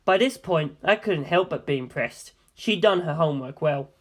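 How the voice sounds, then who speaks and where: Woman, story narrator, expressive and varied intonation, audiobook-style reading. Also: low-pitched, moderate pace. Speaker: audiobook reader. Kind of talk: scripted story narration with character voices.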